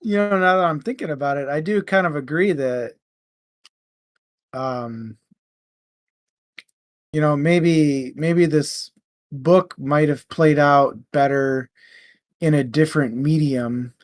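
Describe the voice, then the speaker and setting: Slow-paced man in a dry, close recording. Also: fairly high pitch, dry recording. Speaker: co-host of a book discussion show. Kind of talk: casual book discussion.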